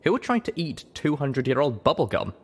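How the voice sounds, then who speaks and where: A narrator reading a story aloud in an expressive, scripted style. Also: mid-range voice, brisk. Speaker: audiobook narrator. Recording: roomy echo, clearly noisy background.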